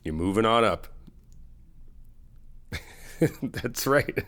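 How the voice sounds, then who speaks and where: A middle-aged man in an ASMR friend roleplay, talking in an easygoing, reassuring, chatty way with soft laughs. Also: mid-range voice, very animated, drawn-out words. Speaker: ASMR roleplay creator playing a best friend.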